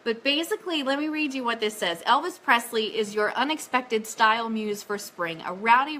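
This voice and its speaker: A woman with a high, bright voice hosts a casual live fan show. She is chatty and enthusiastic, switching easily into reading announcements. There is some noise behind her on the stream. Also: low-quality recording. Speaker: host of an Elvis fan radio show.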